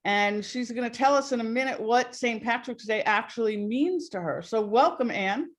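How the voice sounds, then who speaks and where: A woman hosting a live webinar over a video call, talking in a warm, rambling way with many fillers. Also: on the high side, lively intonation, moderate pace. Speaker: host.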